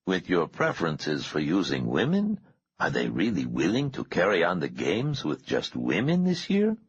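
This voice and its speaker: A man voicing a calm, menacing male interrogator in an audiobook, soft-spoken, unhurried and falsely courteous. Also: deep voice, highs cut off, a little hiss or hum. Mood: calm menace. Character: calm, menacing balaclava-masked male interrogator with irritating accent. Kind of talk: audiobook character dialogue.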